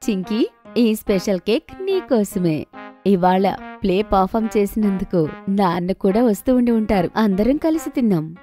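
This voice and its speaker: A woman's voice: mid-range voice, normal speed, faint music behind, lightly acted. Woman playing a kind, encouraging cartoon mother. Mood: pleased. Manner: gentle, encouraging. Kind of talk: animated children's story dubbing.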